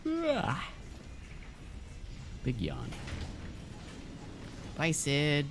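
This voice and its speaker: A man's voice: on the high side, wildly swooping pitch, very slow, background noise. A man casually commenting while he streams a video game, rambling with many stammers and fillers.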